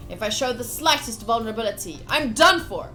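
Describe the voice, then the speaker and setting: A woman's voice: fairly high pitch, a little fast. A woman game streamer giving a playful, theatrical read-aloud of a visual novel with casual commentary. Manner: expressive, playful, theatrical reading. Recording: game music behind.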